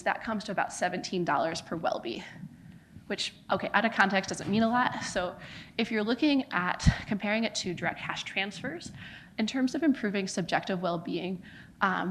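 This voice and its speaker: A woman's voice: medium pitch, a little hiss or hum, poor audio. An American woman giving a conference talk as a charity founder, earnest, with frequent fillers like "kind of" and "like". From United States. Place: conference venue.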